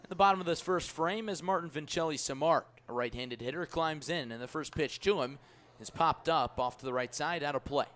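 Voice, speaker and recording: Higher-voiced man calling live baseball. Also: a little fast, a bit bright. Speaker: play-by-play radio announcer. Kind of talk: live baseball play-by-play commentary.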